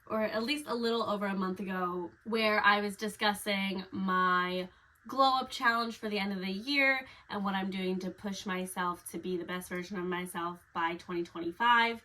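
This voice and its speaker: Bright, upbeat woman fitness vlogger. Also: medium pitch, poor audio. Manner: upbeat, chatty, explains step by step; reads figures off a screen. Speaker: personal trainer and vlogger. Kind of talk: casual fitness vlog talk.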